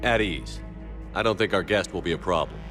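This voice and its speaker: An actor playing a resolute military commander, speaking in a firm, measured, plain way. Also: male voice, sits low, fairly bright tone, faint music behind.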